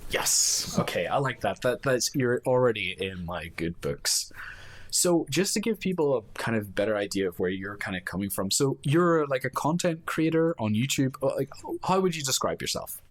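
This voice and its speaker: A man's voice: mid-range voice, lively intonation, moderate pace. A man hosting a podcast interview, friendly and hesitant, often restarting his questions. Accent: Irish or Scottish sounding. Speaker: podcast host.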